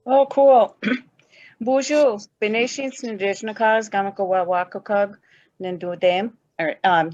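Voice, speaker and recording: A woman's voice: mid-range voice, no echo. An older woman, a program manager, introducing herself casually over a video call. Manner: casual, self-deprecating. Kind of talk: self-introduction. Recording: dull dry call audio.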